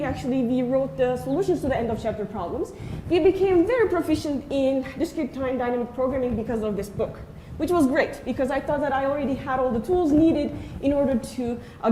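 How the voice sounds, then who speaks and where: Woman in her 30s giving a talk, mellow high voice, echoey lecture room. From Turkey. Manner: earnest and friendly, frequent "uh" pauses. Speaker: invited speaker, assistant professor. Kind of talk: conference talk. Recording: echoey room, faint noise.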